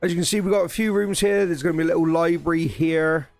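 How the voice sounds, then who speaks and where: Streamer: man, somewhat high, a bit croaky, excited rambling talk over soft background music. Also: fast. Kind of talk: casual live-stream chat while building.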